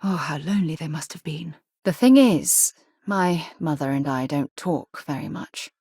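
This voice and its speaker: A woman's voice: low-pitched, moderate pace, warm tone, husky, dry recording, clean studio sound. A woman voicing a composed, articulate and principled space explorer and expedition leader in a video game. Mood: composed, earnest. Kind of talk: video game companion dialogue.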